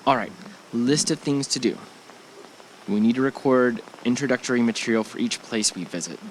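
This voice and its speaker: A man's voice: medium pitch, normal speed, a little hiss or hum, close to an everyday voice, poor audio. A man acting an affectionate, reassuring young husband in an amateur audio drama, speaking in a casual, slightly hesitant way. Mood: relaxed. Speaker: actor in an amateur radio drama. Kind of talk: naturalistic audio drama dialogue.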